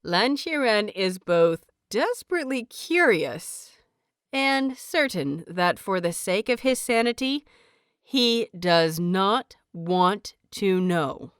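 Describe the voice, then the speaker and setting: A woman narrating a scripted story as an audiobook, with expressive, deliberate and dramatic phrasing. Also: mid-range voice, smooth, no room sound, studio quality.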